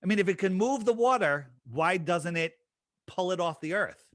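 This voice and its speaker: A man's voice: high-pitched, expressive, moderate pace, fairly bright tone, no room sound, studio quality. Emphatic man firing rhetorical questions. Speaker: interview guest. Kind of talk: conversational interview.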